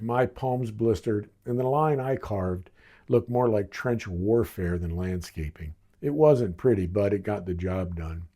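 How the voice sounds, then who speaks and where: A man's voice: on the low side, mellow. An American man in his sixties from Baltimore reads his own memoir as scripted narration, with wry, dramatic, punchy phrasing. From Baltimore, United States. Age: 60s. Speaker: author reading own memoir.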